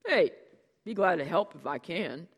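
A man's voice: high voice, lively intonation, creaky, fairly bright tone, husky, long reverb tail, voice pushed up, heavily acted. A reader voicing a heavyset, easygoing man who talks in loose slang and dodges blame, in an audiobook. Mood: cheerful. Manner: laid-back slang, quick denials. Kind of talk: audiobook character dialogue.

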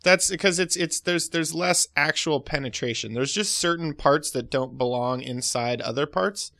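A man's voice: a little high, moderate pace, pushed and bright. A man co-hosting a podcast in casual chat, cracking dry, teasing jokes with a straight delivery. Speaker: podcast co-host.

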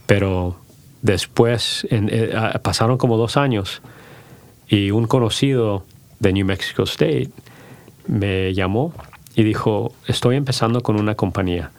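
Halting low-voiced man with gravelly tone. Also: no echo. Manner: halting, many restarts, switches into English. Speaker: podcast guest, company founder. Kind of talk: casual bilingual interview.

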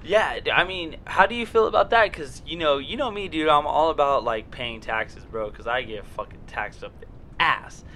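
A man with a bright, forceful voice talks loosely on a podcast, circling back on himself with "dude" and "you know". He swears freely. A faint hiss and rumble sit under the recording. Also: moderate pace. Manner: rambling, profane, repeats filler words. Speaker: podcast host. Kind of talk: casual podcast chat.

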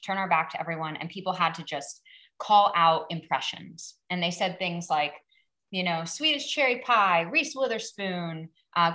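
A woman in her thirties, a guest actor on a podcast, telling stories in casual chat, acting out quotes and laughing at herself. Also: on the low side. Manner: animated storyteller who acts out quotes and laughs at herself.

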